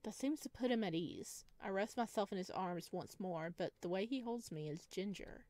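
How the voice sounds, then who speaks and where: A woman's voice: mid-range voice, moderate pace, darkish tone, no echo. A woman hosting a gaming video, reading visual novel narration expressively with casual asides.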